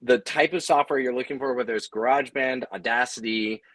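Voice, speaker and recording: A man with a medium-pitched voice, recorded dry with little room sound. He explains things conversationally as a panelist, casual and encouraging in how he puts them. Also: fairly quick, fairly bright tone, dry recording. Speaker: podcaster and audio creator, panelist.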